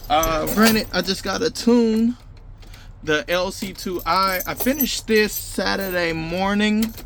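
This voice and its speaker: A man with a slightly high voice chats informally to his viewers as he works. His talk is slangy and loose, with casual swearing. Clear vehicle and outdoor noise runs through the recording. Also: slow. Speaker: car audio vlogger. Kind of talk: casual hands-on install vlog talk. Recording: noisy vehicle and outdoor phone-video sound.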